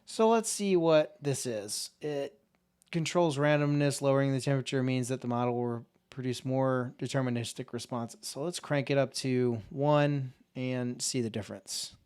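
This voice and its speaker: A man hosting a casual software tutorial, talking in a relaxed, informal way and thinking aloud as he clicks through settings. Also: mid-range voice, moderate pace, smooth. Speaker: tech tutorial host. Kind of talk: casual screen-share software walkthrough.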